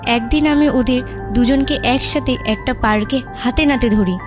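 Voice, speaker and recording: High-voiced sorrowful ghost of a young woman, acted. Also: unhurried, natural voice. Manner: plaintive, emotional, dramatic delivery. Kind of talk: dramatic audio story acting. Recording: music under the voice.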